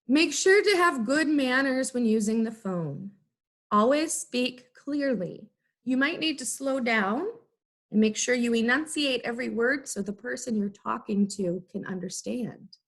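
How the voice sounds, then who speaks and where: A woman teacher giving an instructional lesson, explaining things in clear, simple, step-by-step phrases. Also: fairly high pitch, animated, measured pace, fairly bright tone. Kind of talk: instructional video lesson for students.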